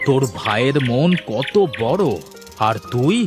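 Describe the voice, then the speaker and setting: A man's voice: medium pitch, lively intonation, a little slow, over music, natural voice. A man voicing an ageing, worried but fair-minded village father in a cartoon. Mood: solemn, reproving. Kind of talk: Bengali cartoon moral tale dialogue.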